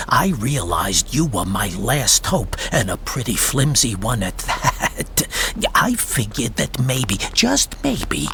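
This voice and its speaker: An actor in a radio drama playing a sly, talkative private insurance investigator with a wordy, mock-polite manner. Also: male voice, medium pitch, fairly bright tone, slight background noise, heavily acted.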